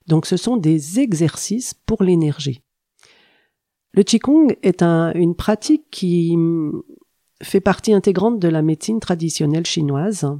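A woman in middle age talks in a warm, mellow voice, unhurried and teacherly. A light cold leaves her a little congested. She pauses often to gather her thoughts while explaining. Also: on the low side. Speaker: guest, traditional Chinese medicine practitioner. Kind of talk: explanatory interview talk.

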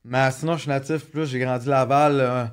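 A man's voice: mid-range voice, steady tone, a little fast, clear, resonant tone. A man in his thirties with a Quebec French accent, a podcast guest telling personal stories in casual chat, joking and restarting often. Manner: joking, frequent restarts and fillers. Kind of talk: casual storytelling.

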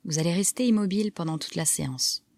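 A woman guiding a relaxation meditation, giving calm, soft, measured instructions with pauses between phrases. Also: fairly low pitch. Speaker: yoga nidra guide. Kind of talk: guided relaxation meditation narration.

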